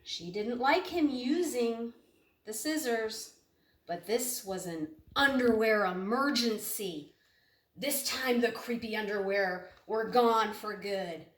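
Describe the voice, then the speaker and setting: A woman reads a storybook aloud to children in a roomy, echoing space, a little away from the mic. Her delivery is expressive and unhurried, with dramatic stretches and questions put to her young listeners. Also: on the high side, recorded from a distance. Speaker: storybook reader. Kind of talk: children's picture-book read-aloud with asides to young listeners.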